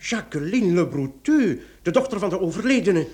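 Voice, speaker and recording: An actor playing an earnest, excitable police commissioner in a radio play, exclaiming and repeating his words as he thinks. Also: sits high, moderate pace. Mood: baffled, impatient. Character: excitable, earnest male police commissioner piecing things together. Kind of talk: Dutch-language radio play acting.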